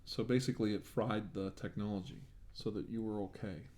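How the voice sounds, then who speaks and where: Low, mellow ASMR man playing a medic. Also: a little fast. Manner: reassuring, attentive bedside talk. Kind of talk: soft ASMR medical roleplay.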